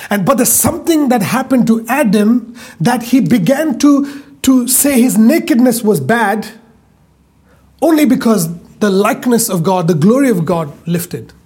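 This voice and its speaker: Male preacher, high husky voice, emphatic, stretches words for weight. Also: expressive, darkish tone. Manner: emphatic, repeats phrases, stretches key words slowly. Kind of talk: sermon.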